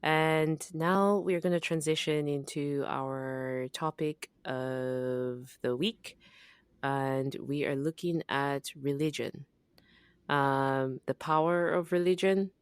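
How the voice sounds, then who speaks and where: A young adult woman from Zambia co-hosting a podcast, talking in a relaxed, reflective way with frequent fillers and laughs. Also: low-pitched, slow, clear, resonant tone, no room sound. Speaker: podcast co-host. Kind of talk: casual conversational podcast.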